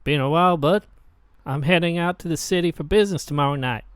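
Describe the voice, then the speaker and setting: A man's voice: on the high side, fast, clear, resonant tone, no room sound, studio quality, natural voice. A man playing a casual, chummy younger brother with an informal way of talking in a drama. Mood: breezy, friendly. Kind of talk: dramatized dialogue.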